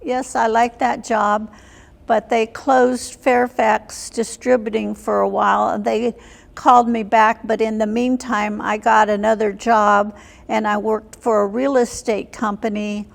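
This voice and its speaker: An older woman, in her seventies or beyond, recalling her life as an interview guest in a plain, halting way. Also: a little high, level tone, gravelly. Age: 70s or older. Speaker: interview guest, military veteran. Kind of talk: reminiscing in an unscripted interview. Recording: long hall reverb.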